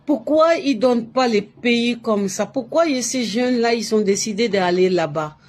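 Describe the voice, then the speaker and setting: A woman's voice: on the high side, moderate pace, a little mellow. A woman from Latin America speaking French in an emphatic, repetitive way on a live stream, full of restarts and fillers, giving spiritual advice. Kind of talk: casual live-stream monologue.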